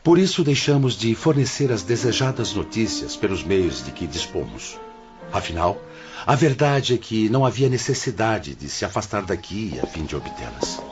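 An actor playing a stern spirit brother in authority in a radio drama, speaking in a grave, formal, admonishing way. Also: male voice, lowish voice, very animated, moderate pace, lo-fi sound, music in the background. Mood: grave resignation.

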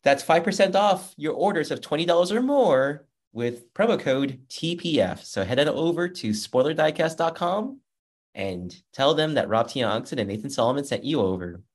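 A man hosting a motorsports podcast in a casual, upbeat, chatty way, with a loud voice. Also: a little high, lively intonation, moderate pace. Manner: upbeat, chatty, reads sponsor plugs. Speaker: podcast host and motorsports journalist.

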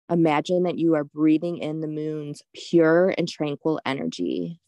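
A woman leading a guided meditation in a soothing, unhurried, gently instructive way. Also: deep voice, dry recording, clean studio sound.

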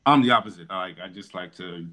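A man hosts a relaxed podcast interview in a low voice. He is chatty and quick to tease and joke with his guest. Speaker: podcast host.

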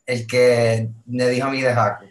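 A man from Puerto Rico with a Puerto Rican accent, a guest in a casual chat who talks hesitantly and pauses to find his words. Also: mid-range voice, flat delivery. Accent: Puerto Rican Spanish. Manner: hesitant, many fillers and restarts. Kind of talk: casual conversation. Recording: roomy echo.

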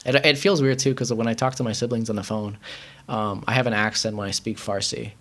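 A man's voice: lowish voice, fairly even intonation, a little fast, fairly bright tone. A young man from Iran chatting casually in a rambling way, with many fillers. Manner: rambling, heavy use of filler words. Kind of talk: casual chat.

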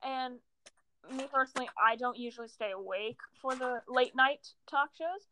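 A woman's voice: high voice, moderate pace, sharp tone, a little hiss or hum. A teenage girl co-hosting a podcast, rambling and joking in casual chat with lots of fillers. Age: teens. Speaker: podcast co-host.

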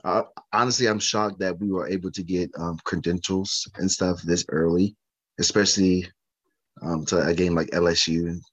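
A man on a sports podcast who talks eagerly but haltingly, with many fillers. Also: sits low, fairly even intonation, fairly bright tone, slightly rough, poor audio. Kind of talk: casual sports podcast chat.

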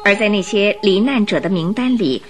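A woman narrating a radio drama in an expressive, emotive storytelling style from a script. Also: medium pitch, moderate pace. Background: music.

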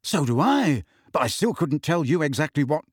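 A man's voice: a little high, sing-song, moderate pace, breathy edge, no echo, studio quality. A man voicing a blunt, easygoing teenage schoolboy in an audiobook, informal and a bit grumbling. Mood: admiring.

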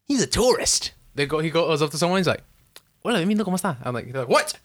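A young American man chatting on a podcast, quick and bright in tone. He tells stories animatedly, switching into quoted voices and swearing freely. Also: fairly high pitch, sing-song. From United States. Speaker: podcast co-host. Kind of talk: casual chat.

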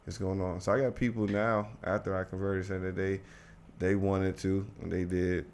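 A man's voice: deep voice, flat delivery, moderate pace, darkish tone, slight background noise. A young man from the Washington DC area, talking casually as a guest and rambling with many restarts. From United States, Washington DC area. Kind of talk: casual conversation.

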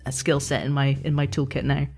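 Woman being interviewed, low voice, casual, feels her way through answers. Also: little pitch movement, brisk, creaky, darkish tone, soft background music. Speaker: interview guest, audio drama producer and editor.

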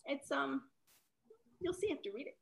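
A woman's voice: high voice, expressive, moderate pace, gravelly. A woman novelist whose first language is Italian, talking casually in an interview, often trailing off and rephrasing.

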